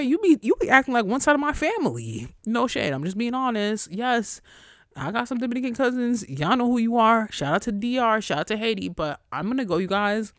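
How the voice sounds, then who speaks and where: Young woman, podcast host, casual chat, pitch leaps up and down, dry close sound. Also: no echo. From New York area. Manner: chatty, animated, swings up high when excited; profane, blunt asides to listeners.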